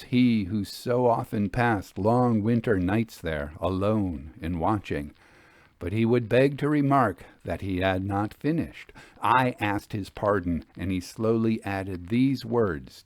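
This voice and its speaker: A man narrating a classic ghost story in the first person, with theatrical, measured phrasing. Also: lowish voice, a little mellow, no room sound.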